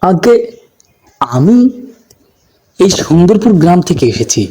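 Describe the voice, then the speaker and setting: A man playing a sly, flattering village man in a cartoon, with an ingratiating, rambling way of talking. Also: high-pitched, unhurried. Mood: flattering, earnest. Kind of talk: cartoon voice acting.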